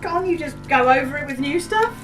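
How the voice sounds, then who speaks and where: A woman's voice: sits high, expressive, brisk, a bit bright, soft background music. A woman playing a fussy, evasive, deferential housekeeper in a comic gothic stage drama. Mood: uneasy, deferential. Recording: distant, echoey hall.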